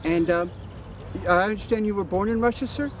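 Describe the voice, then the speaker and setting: A man's voice: sits high, fairly quick, a little hiss or hum. A man interviewing a soldier outdoors, asking questions in a polite, deferential way. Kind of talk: field interview. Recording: crowd chatter in background.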